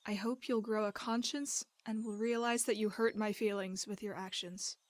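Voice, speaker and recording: A woman's voice: mid-range voice, steady tone, normal speed, no echo, natural voice. A woman playing a weary, hurt wife in a game drama, pleading and reproachful. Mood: angry, fed up. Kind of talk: dramatic game cutscene acting.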